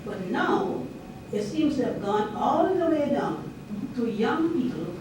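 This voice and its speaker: A woman from Guyana asks a question from the audience, hesitating now and then. She is far from the microphone in a strongly echoing room, and a clear hiss runs underneath. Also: high-pitched, big pitch swings, slow pace. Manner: hesitant, with fillers. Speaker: audience member asking a question. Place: university lecture room. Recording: echoey room, far from mic, audible hiss.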